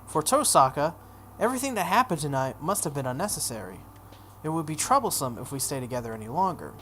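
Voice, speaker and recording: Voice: medium pitch, sing-song, quick pace, a bit bright, slight background noise. A video game playthrough host reading a story aloud dramatically, with casual offhand asides. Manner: acts out dialogue, breaks off for offhand comments.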